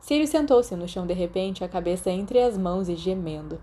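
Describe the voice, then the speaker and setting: A woman's voice: fairly low pitch, animated, fairly quick, warm tone. A woman with a Brazilian accent reading scripted story narration, as in an audiobook. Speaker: audiobook narrator of a fan fiction podcast.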